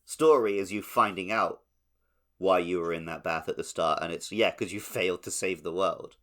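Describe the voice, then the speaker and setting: A man's voice: low voice, moderate pace, fairly bright tone. A man co-hosting a podcast, talking casually and conversationally about video games. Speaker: podcast co-host. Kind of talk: casual gaming podcast chat.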